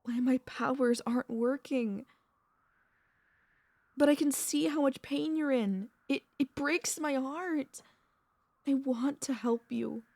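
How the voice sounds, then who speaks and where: A woman acts out an anxious, devoted vampire mistress in an ASMR audio roleplay. Her fairly high voice has a touch of creak and moves slowly, with formal endearments and pleading pauses. It sounds soft, close and dry, as if meant for one listener. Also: no echo, exaggerated voice. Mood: worried, heartbroken. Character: tender, anxious, possessive centuries-worn female vampire mistress.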